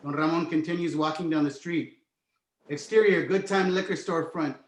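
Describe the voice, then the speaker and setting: Male narrator for script read-through, slightly high voice, echoey room. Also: steady tone, moderate pace. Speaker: stage directions narrator.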